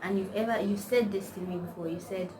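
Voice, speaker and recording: A woman's voice: mid-range voice, steady tone, quick pace. A young woman with a Nigerian accent, chatting to camera and rambling through stories with lots of "like" and slang. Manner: rambling storytelling, constant "like", slangy. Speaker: vlogger. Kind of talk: casual chat to camera. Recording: distant mic, noisy background.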